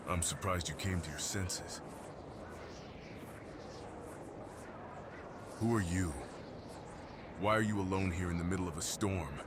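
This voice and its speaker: A low-pitched, solitary mountain creature, acted by a man, guards the peaks and speaks with solemn, protective gentleness. The delivery is measured and intimate, in the manner of fantasy ASMR roleplay. Clear ambient noise sits behind the deep voice. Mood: calm, concerned.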